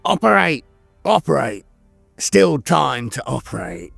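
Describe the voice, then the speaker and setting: A man's voice: mid-range voice, sing-song, takes it slowly, vocal fry, a bit bright, no echo, faint music behind, exaggerated voice. A man voicing a manic, mad orc surgeon in a fantasy audio drama, frantic and emphatic. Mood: manic glee.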